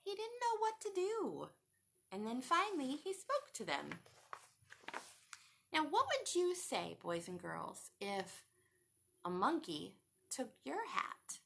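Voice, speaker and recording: A high-voiced woman reads a picture book to young children. She takes it slowly, with big swoops in pitch, and often turns to the listeners with questions. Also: creaky. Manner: expressive, asks the listeners questions. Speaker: children's storytime reader.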